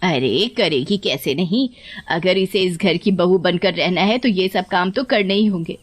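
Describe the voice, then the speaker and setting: Sharp-tongued scheming mother-in-law played by a woman. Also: on the low side, a little slow. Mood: scolding. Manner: scolding, domineering, falsely sweet to her son. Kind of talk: Hindi cartoon story voice acting.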